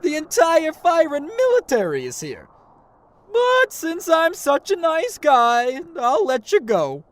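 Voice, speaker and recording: An actor playing a cocky, condescending young enemy commander in an animated action story, with theatrical delivery. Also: high-pitched, slow pace, heavily acted. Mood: mocking. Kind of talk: amateur animated action voice acting.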